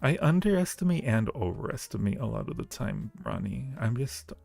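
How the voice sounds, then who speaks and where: A man's voice: medium pitch, expressive, normal speed, gravelly, a little mellow, dry recording. A man hosting a livestream, chatting casually and playfully with viewers and speaking to them affectionately. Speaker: livestream host and audio roleplay creator. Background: background music.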